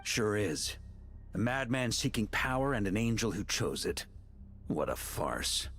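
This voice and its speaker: A man's voice: lowish voice, big pitch swings, a little slow. A man playing a hearty, commanding knight captain in a video game, bold and wry in manner. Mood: scornful. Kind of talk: dramatic game voice acting. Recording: music behind the voice.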